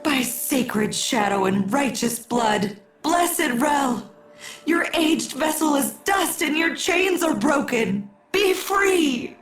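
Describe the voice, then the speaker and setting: A woman's voice: high voice, expressive, slow pace, gravelly, exaggerated voice. A woman playing a solemn mystic guide in a video game, speaking in a grave, ceremonial manner. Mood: reverent. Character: solemn female mystic guide presiding over a sacred rite. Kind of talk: scripted video game acting. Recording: echoing distant voice under music.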